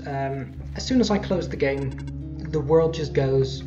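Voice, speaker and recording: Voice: medium pitch, measured pace. Casting: gameplay host, casual and rambling, self-correcting, lo-fi dull mic with game music underneath. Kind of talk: casual let's play commentary.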